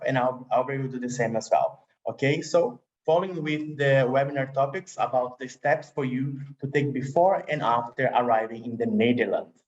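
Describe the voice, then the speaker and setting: A man's voice: mid-range voice, muffled recording. A man from Brazil with a Brazilian Portuguese accent, a recruiter presenting a webinar in an upbeat way and speaking to the audience as "guys". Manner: upbeat, addresses the audience as "guys", slightly non-native grammar.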